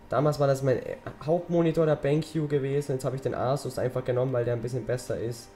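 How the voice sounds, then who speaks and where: A teenage boy talks his way through a game, rambling and chatty, his voice slightly hoarse from a cold. His tone is mellow and dark, and faint music plays behind him. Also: medium pitch. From German-speaking Europe. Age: teens. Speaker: gaming channel host. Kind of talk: casual let's-play commentary.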